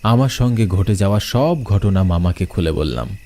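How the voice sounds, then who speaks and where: Low-voiced man narrating a horror tale. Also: animated, moderate pace, dark tone. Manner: dramatic, suspenseful storytelling. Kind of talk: first-person horror story narration. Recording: music bed under the voice.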